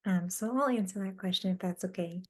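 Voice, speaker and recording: A woman speaking as a panelist on a webinar, giving an earnest, measured answer. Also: mid-range voice. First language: not Mandarin. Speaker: explorer panelist.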